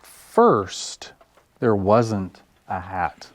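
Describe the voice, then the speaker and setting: A man with a fairly low voice hosts a story time for small children. He reads very slowly, with deliberate phrasing made for young listeners. Music plays behind his voice. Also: animated. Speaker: library story time host. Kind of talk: children's read-aloud and sing-along story time.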